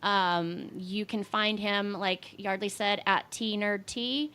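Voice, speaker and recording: A woman's voice: mid-range voice, fairly even intonation, takes it slowly, bright, forceful tone, clear, resonant tone. A woman co-hosting a podcast from her home office, chatting casually and rambling with many fillers and the odd swear. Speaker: podcast co-host. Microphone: home podcast mic.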